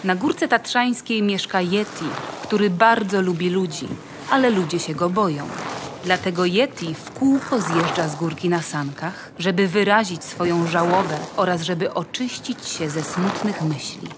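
A woman's voice: lowish voice, normal speed, background noise. A woman reading scripted narration in the style of a nature documentary for an audio play. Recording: music behind the voice. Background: music.

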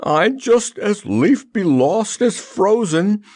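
A man's voice: a little high, expressive, slow, vocal fry, slightly rough, no echo, studio quality, voice pushed up, exaggerated voice. A man puts on a large, cowardly storybook lion. He is gloomy and sarcastic, roaring and complaining plaintively in a raised, swooping voice.

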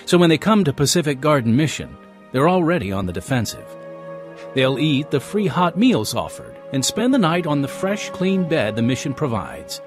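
A man's voice: on the high side, sing-song, moderate pace. A man announcing and narrating a radio drama series in a scripted delivery. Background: music behind the voice.

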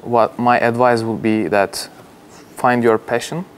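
A young man from Turkey with a Turkish accent, answering interview questions in a measured way with frequent hesitations, recorded on a lapel mic in an open-plan office. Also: medium pitch, fairly even intonation, slight background noise. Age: young adult. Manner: measured, gestures while explaining, frequent "uh". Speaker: university alumnus.

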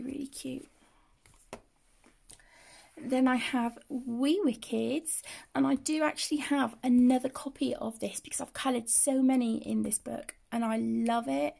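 A woman with the flu, croaky and often half-whispering, casually showing her finished colouring pages as the channel host. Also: on the high side, dark tone. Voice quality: hoarse, often drops to a whisper. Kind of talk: casual flip-through commentary. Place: indoors at a table.

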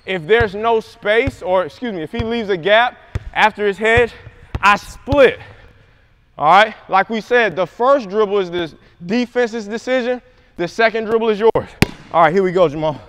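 A man's voice: high-pitched, normal speed, a little hiss or hum. A young man coaching basketball moves, calling out plays with energy in a large indoor gym. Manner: energetic, shouts calls on court. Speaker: basketball trainer. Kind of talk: coaching demonstration.

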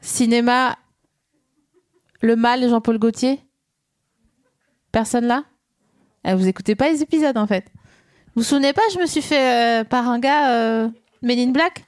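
A woman hosting a casual podcast chat, joking at her own expense and drifting between thoughts. Her voice sits a little high and sounds close and dry, with no room around it. She comes from eastern France. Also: unhurried, long pauses, a little mellow, clear, resonant tone, dry recording. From Mulhouse, France. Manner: playful, scattered, self-mocking. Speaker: podcast host.

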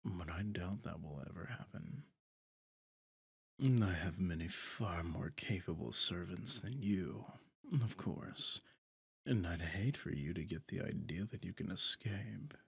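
A man voicing a possessive, suave demon lord in a roleplay monologue, pompous and deliberate, often dropping into breathy half-whispers. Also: sits low, bright, forceful tone, no echo, heavily acted. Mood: commanding, condescending. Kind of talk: scripted ASMR roleplay monologue.

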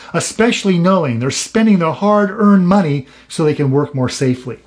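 A man's voice: a little high, a little mellow. Earnest man giving cautionary expert advice. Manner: earnest, cautionary, emphatic warnings. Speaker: salon industry expert hosting a show. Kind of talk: scripted advice talk to camera.

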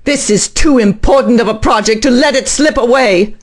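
A woman's voice: fairly high pitch, normal speed, close to an everyday voice. A woman playing a middle-aged, earnest and driven scientist in an audio drama, speaking formally and insistently. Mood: urgent, pleading.

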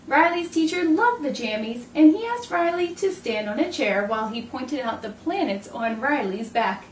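A woman in her thirties reading a picture book aloud to preschoolers with expressive, deliberate delivery. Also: sits high. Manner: expressive, clearly paced delivery for young children. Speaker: children's storytime host. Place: indoors in a room, standing before backdrop. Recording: roomy, distant mic, faint noise.

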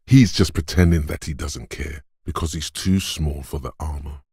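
An actor voicing a gruff, macho inner voice of physical strength for a video game, like a drill coach, blunt, taunting and commanding, with a gravelly, husky tone. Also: male voice, rumbling low, moderate pace, darkish tone, no echo, studio quality, exaggerated voice. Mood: scornful, urging.

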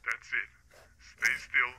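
Actor as slow-paced radio dispatcher, heavy noise. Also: male voice, medium pitch, little pitch movement. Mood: urgent, steadying. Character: concerned male dispatcher guiding colleague by radio. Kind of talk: found-footage horror film acting. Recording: loud noise and clicks over radio link.

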